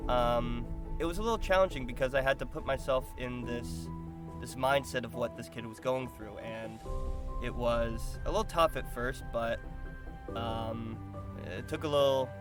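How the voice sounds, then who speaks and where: A man giving casual interview answers as a voice actor, thoughtful and pausing to find his words. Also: medium pitch, music in the background.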